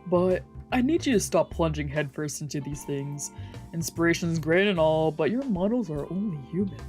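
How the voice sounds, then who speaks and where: A woman's voice: low-pitched, lively intonation, moderate pace, a little mellow. A woman voicing a concerned male doctor who looks after a friend in a visual novel, caring and firm. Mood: earnest, cautioning. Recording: music playing behind the voice.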